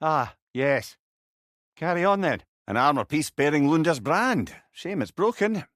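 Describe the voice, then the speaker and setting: An actor voicing a clever, chatty old companion in a video game, with a Scottish accent and a wry, eloquent way of talking. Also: male voice, on the high side, very animated, a little slow, a bit bright, no room sound, studio quality. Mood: thoughtful, explaining. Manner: wordy, eloquent, wry storyteller. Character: clever, chatty, sardonic old male severed head companion. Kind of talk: video game character dialogue.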